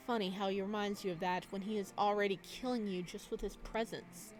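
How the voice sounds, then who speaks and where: Female gaming host narrating a visual novel, mid-pitched voice, light noise and game ambience behind. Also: fairly even intonation, fairly quick. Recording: some background noise under voice.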